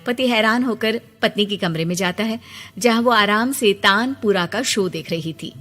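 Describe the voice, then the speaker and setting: A woman's voice: medium pitch, normal speed, fairly bright tone. A woman reading a scripted moral story as its narrator. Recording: long reverb, music bed behind. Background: background music.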